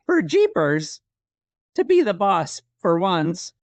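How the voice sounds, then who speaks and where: An actor playing a cowardly, whiny old male cat in an audio drama, with a creaky rasp and skittish delivery. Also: mid-range voice, huge pitch swings, slow, no room sound, full character voice. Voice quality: raspy, creaky. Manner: skittish, exaggerated cartoonish delivery.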